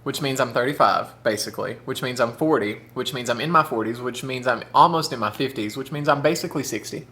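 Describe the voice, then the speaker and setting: A man's voice: mid-range voice, quick pace, few pauses, sharp tone. Man in his thirties, camp, chatty food vlogger, often talks with his mouth full. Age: 30s. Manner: chatty, camp, says "y'all" and "honey". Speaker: mukbang vlogger. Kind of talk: casual chat while eating.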